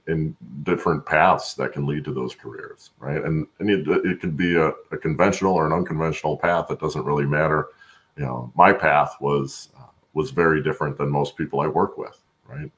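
A middle-aged American man with a gravelly voice, chatting as an interview guest and often checking in with "right?". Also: extremely low pitch, fairly bright tone. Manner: tags phrases with "right?". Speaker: guest, supply chain professional. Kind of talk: casual conversational interview. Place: home room, on video call. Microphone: video call.

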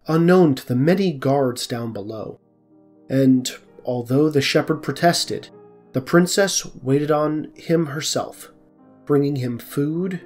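A man narrating a scripted folk tale as the storyteller of an audio drama. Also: fairly high pitch.

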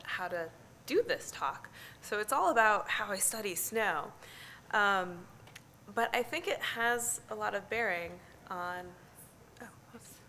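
Midwestern woman speaker, middle-aged, bright voice, candid and self-deprecating. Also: mid-range voice, slight background noise. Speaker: conference speaker. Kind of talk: personal talk at a science meeting. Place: large conference hall.

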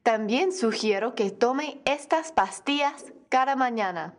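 A woman reading as a calm, slow-spoken doctor. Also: a little high. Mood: advising. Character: calm adult doctor giving advice.

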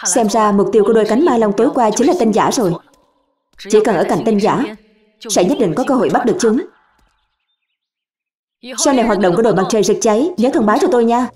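A woman's voice: a little high, fast talker, pauses often, crowd noise, natural voice. A woman playing a proud, cool-headed young rival in a cartoon dub, speaking in an aloof, declarative way. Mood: calculating.

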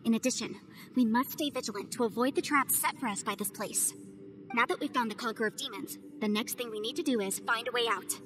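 Woman doing a brisk earnest young advisor, higher voice, game music under. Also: lightly acted. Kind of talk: game cutscene voice acting.

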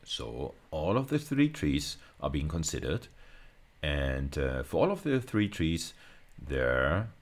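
A man's voice: a bass voice, very animated, takes it slowly. A middle-aged man giving an informal lecture as a university teacher, explaining things step by step and often rephrasing as he thinks aloud.

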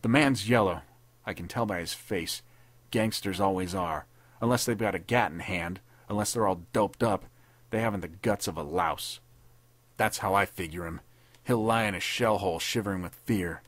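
A man playing a tough, vengeful army captain who speaks in gruff, threatening tones. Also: low-pitched, moderate pace, fairly bright tone, no room sound. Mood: menacing.

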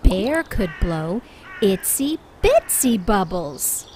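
A woman reading a storybook aloud for young children in an expressive, deliberate way, drawing out key words. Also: medium pitch, noisy background. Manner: expressive, deliberate, with drawn-out emphasis. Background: background music.

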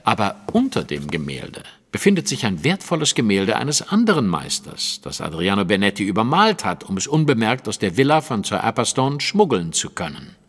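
An actor playing a shrewd, self-assured detective who explains things precisely in a German audio drama. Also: male voice, on the low side, wildly swooping pitch, normal speed, few pauses, breathy edge, big-room sound, exaggerated voice. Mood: insistent, reasoning. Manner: precise, lecturing, deductive.

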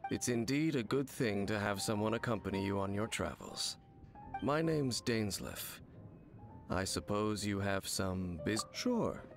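A man's voice: lowish voice, animated, measured pace, music in the background. A man voicing a mysterious masked wanderer in a video game, cool, reserved and formal. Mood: cool, guarded.

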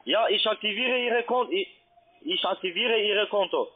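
A man with a foreign accent speaking non-native German as a call centre agent, insistent, halting and repeating himself in an unscripted sales pitch. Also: breathy edge. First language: not German. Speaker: call centre agent posing as customer adviser. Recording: tinny band-limited phone line.

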